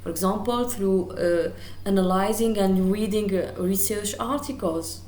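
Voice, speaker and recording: A woman's voice: medium pitch, a little slow, darkish tone, reverberant, a little hiss or hum. A woman with an Algerian accent, a native Arabic speaker, giving a university English lecture with frequent fillers and restarts. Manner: hesitant, many fillers and restarts. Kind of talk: recorded lecture.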